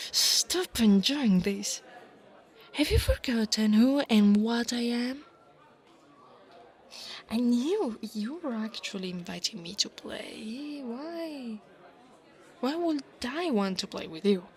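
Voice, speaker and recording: A woman voicing an insecure young dragon girl in an ASMR roleplay, haughty and defensive, stammering when flustered and often dropping toward a breathy whisper. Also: on the high side. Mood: stern, proud. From Italy. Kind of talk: scripted ASMR monster roleplay, acting one side of a conversation.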